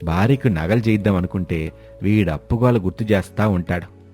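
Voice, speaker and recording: A man's voice: low voice, a little fast, dark tone. A man voicing a cunning village husband in a story, brazen, colloquial and dismissive. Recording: music behind the voice.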